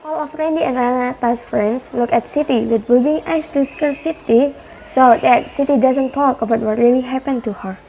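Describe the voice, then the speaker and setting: A woman's voice: high voice, normal speed, mellow, some chatter behind, a little hiss or hum, rough recording. A woman reading scripted narration for a student radio drama.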